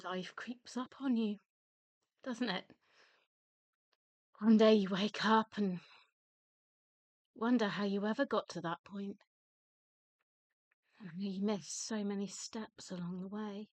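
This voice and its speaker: An actress playing a weary, wounded wife in a radio play, candid and sardonic, hesitant at times and then emphatic. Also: female voice, medium pitch, fairly even intonation, slow pace, pauses often, a little mellow, dry recording, lightly acted. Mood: wistful.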